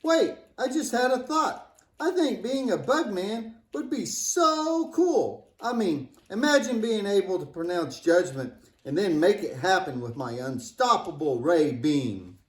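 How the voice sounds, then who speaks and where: A man's voice: high voice, sing-song, a little slow. A man playing a cheeky, wisecracking, imaginative boy puppet in a scripted church puppet show, joking and exclaiming playfully. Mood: playful, excited. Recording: echoey room.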